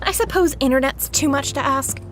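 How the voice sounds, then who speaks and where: A woman's voice: high voice, brisk, faint music behind, lightly acted. A woman playing a rebellious, foul-mouthed younger sister in an audio drama, sarcastic and biting. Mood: bitter sarcasm.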